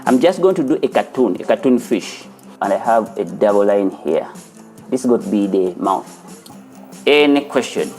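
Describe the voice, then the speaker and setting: A man, speaking in a fairly low voice. He talks earnestly and without hurry, sometimes restarting a phrase, as an animation studio founder in a documentary interview. Loud music plays underneath him. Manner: earnest, unhurried, with small restarts.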